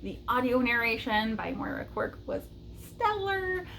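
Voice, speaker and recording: A woman's voice: a little high, big pitch swings, measured pace, a bit bright, a little hiss or hum, poor audio. A woman chatting casually and enthusiastically as a book vlogger, with a light chuckle in her talk.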